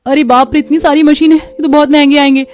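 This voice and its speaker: A woman voicing a fretful young daughter-in-law who pleads and worries in an animated story. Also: high voice, quick pace, faint music behind, natural voice. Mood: troubled, complaining. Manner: fretful, pleading, talks to herself. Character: anxious young daughter-in-law hiding a cooking secret. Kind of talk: Hindi animated moral story dialogue.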